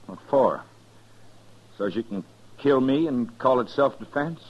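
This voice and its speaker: A man's voice: medium pitch, lively intonation, unhurried, a little hiss or hum, lightly acted. A man playing a wary, peaceable cowboy in a 1950s radio western, folksy and defensive, with an American accent. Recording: dull 1950s radio recording.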